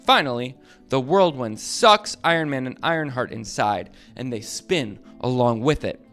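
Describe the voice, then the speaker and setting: A man narrating a children's book aloud with expressive, dramatic emphasis. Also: mid-range voice, normal speed, soft background music. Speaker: storybook narrator.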